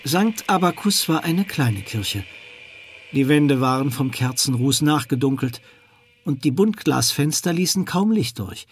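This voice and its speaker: A man's voice: on the high side, lively intonation, a little slow, slightly rough. A man narrating a suspenseful children's audio drama with dramatic scripted storytelling. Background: music.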